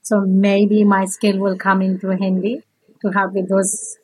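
A woman, speaking earnest, halting as a nurse and election candidate. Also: medium pitch, completely flat delivery, darkish tone, slightly rough, no room sound, poor audio. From India. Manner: earnest, halting non-native English.

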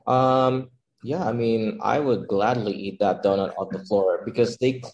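Low-voiced young man, relaxed chat. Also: a little slow, muffled recording. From Philippines. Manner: relaxed, laughs often, many fillers like "um" and "like". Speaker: chef and pop-up owner, guest. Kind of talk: casual interview chat.

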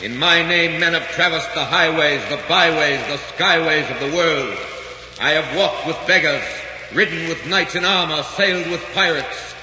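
A man's voice: a little high, moderate pace, pushed and bright. A man announcing and narrating a 1940s radio drama in a grand, declamatory manner. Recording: echoey, muffled 1944 radio recording with hiss.

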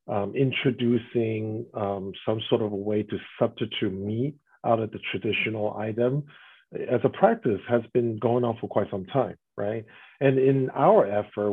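Husky man on a tinny phone-like line. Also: fairly low pitch. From Taiwan. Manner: explanatory, often adds "right?" tags. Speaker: restaurant guest. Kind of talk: interview answers.

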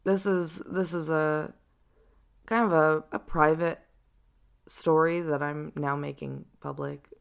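A young adult woman with a deep voice, hosting a personal reflective podcast in a casual, rambling way with lots of fillers. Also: fairly even intonation, slow pace, creaky, clear, resonant tone. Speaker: podcast host.

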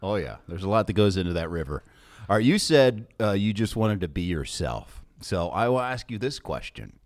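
A man's voice: deep voice, big pitch swings, normal speed. A man with an American accent hosting a podcast, chatting casually and putting questions to a guest. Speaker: podcast host. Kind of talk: casual chat interview.

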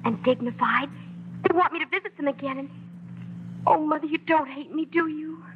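An actress playing a shy, conflicted teenage daughter in a 1940s radio drama, with a hesitant, pleading way of talking. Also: female voice, high voice, moderate pace, natural voice. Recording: dull, noisy old radio broadcast recording.

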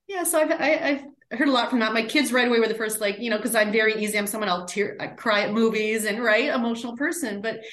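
A woman's voice: fairly high pitch, fast, hardly any pauses, echoey room. A middle-aged woman, a guest who talks in a chatty way and often adds "right?" and "like". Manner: chatty, warm, many "right?" and "like" tags. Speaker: guest, end-of-life doula. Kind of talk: casual interview talk.

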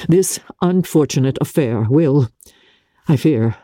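A woman voicing a compassionate, formal gentleman in a classic novel reading, grave and restrained, with halting phrases. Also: sits low, lively intonation, dry recording, clean studio sound, heavily acted.

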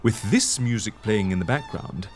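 Excitable, posh eccentric gentleman. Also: fairly low pitch, normal speed. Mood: grandly delighted. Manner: theatrical, hesitant, effusive. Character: posh, excitable, eccentric male agency boss, grandly sentimental. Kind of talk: comedy audio drama acting.

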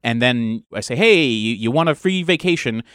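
A man's voice: medium pitch, animated, normal speed, a bit bright, clear, resonant tone, no room sound. Man explaining a game, dry sound. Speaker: game master, show co-creator. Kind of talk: conversational explanation of a role-playing game.

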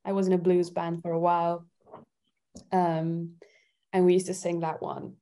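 Woman from Italy, interview guest. Slightly low, gravelly fry, thoughtful and hesitant, casual chat. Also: little pitch movement, normal speed, mellow. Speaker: singer-songwriter guest.

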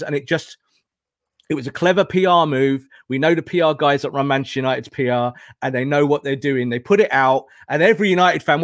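A man with a fairly high voice speaks as a football pundit in a dry-sounding recording. He argues emphatically, leaning on repetition and rhetorical questions as he gives his opinions. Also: dry recording. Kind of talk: impassioned opinionated discussion.

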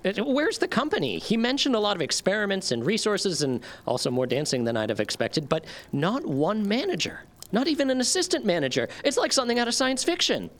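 An actor playing a naive, baffled newcomer in an audio drama, halting and questioning. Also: medium pitch, big pitch swings. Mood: exasperated. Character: naive, baffled company-minded newcomer reading notes aloud.

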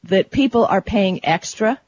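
A woman's voice: mid-range voice, expressive, measured pace, darkish tone, dry recording. An American woman chatting casually as the co-host of a talk show. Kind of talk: casual chat. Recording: dull lo-fi audio.

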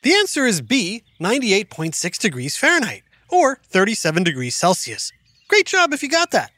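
A woman's voice: mid-range voice, wildly swooping pitch, moderate pace, fairly bright tone. A woman doing a stuffy-nosed friendly narrator. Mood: quizzing. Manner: quizzing kids with multiple-choice questions. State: stuffed-up nose. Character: friendly narrator with a bad head cold. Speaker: show narrator.

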